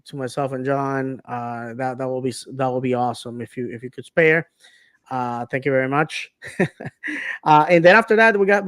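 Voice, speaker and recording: A man hosting a casual podcast talks in a smooth, clear voice. He speaks haltingly and fills his pauses with ums and uhs. The sound is close and dry. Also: medium pitch, no echo. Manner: halting, lots of fillers. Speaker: podcast host.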